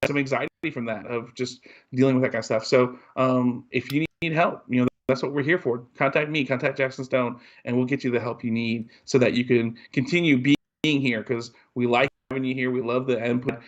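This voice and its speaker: A man's voice: medium pitch, fairly even intonation, brisk, muffled recording. A man co-hosting a podcast, talking in an earnest, rambling way with lots of ums and restarts. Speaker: podcast co-host. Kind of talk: casual podcast chat.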